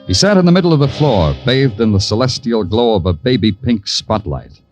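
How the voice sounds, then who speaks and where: An actor playing a wisecracking, hard-boiled New York police detective in an old radio drama, sardonic in dialogue and florid in narration. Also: male voice, mid-range voice, animated, fairly quick, darkish tone, faint music behind, close to an everyday voice. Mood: wry. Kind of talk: 1940s-50s radio detective drama acting.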